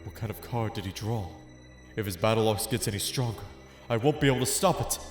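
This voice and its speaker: A man's voice: fairly low pitch, brisk, faint music behind, lightly acted. An actor playing an earnest, determined teenage boy card duelist in an anime-style audio drama, dramatic as he thinks aloud and calls out moves. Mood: tense, calculating.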